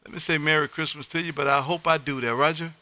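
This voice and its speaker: A man hosting casual amateur radio chat, with a loud, folksy, animated patter. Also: on the high side, quick pace, very noisy. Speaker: amateur radio operator and livestream host. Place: home radio room.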